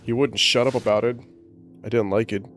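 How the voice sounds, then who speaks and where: A man with a boomy voice chats casually while streaming a game from home. He rambles in a laid-back way and stops now and then to talk to his pet. Faint music plays behind him. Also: steady tone, brisk, a bit bright. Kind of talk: casual livestream chat. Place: home, indoors. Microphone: desk microphone.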